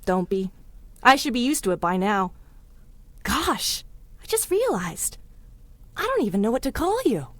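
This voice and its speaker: A spirited young city gal from a 1930s radio serial. Her voice sits fairly high and swings freely up and down, slangy and stubborn, recorded close and dry. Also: sing-song, a little slow, long pauses, no echo. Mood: curious, impressed. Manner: spirited, slangy old-time talk. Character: plucky, warm-hearted young city woman, stubborn and curious. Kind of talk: 1930s pulp radio drama acting.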